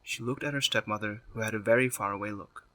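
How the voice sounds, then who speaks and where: A man's voice: fairly low pitch, fast, very noisy. A man narrating a scripted drama story as its storyteller.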